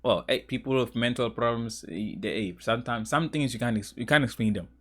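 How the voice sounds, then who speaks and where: A man's voice: medium pitch, fairly quick. A young man from South Africa with a South African accent, reacting to a video in casual, profane, rambling commentary. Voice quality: slangy, profane, rambling with restarts.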